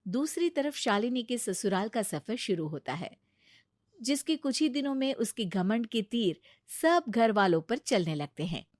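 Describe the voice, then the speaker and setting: A woman's voice: a little high, measured pace, no room sound, clean studio sound. Woman narrating a storybook cartoon. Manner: even, storytelling.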